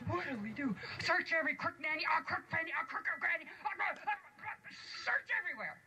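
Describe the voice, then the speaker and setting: A woman doing squeaky panicked dwarfs. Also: fast talker, exaggerated voice. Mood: frantic. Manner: stammering, excitable, shrill. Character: squeaky frantic little male dwarfs. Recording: home recording with clear background noise.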